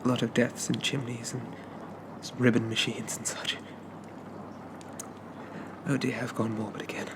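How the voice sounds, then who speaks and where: A man playing a shy, polite young zombie from a bygone era in a soft-spoken ASMR roleplay, stammering and apologizing in old-fashioned phrases. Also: mid-range voice, flat delivery, moderate pace, pauses often, noisy background, close to an everyday voice. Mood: apologetic, awkward.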